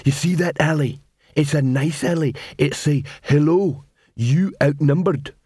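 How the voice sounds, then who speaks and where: A man doing a dogged, high slow troll. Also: warm tone, husky, no room sound, studio quality. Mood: gruff. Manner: broken simple grammar, halting counting. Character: slow-witted male troll watchman, dogged.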